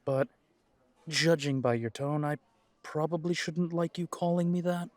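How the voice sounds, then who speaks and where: A man's voice: a little high, unhurried, no echo, close to an everyday voice. A man playing a wry, tired and protective male werewolf pack alpha in an audio drama, with dry sarcasm. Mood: dry, sarcastic. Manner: dry, sarcastic, hesitant when weary.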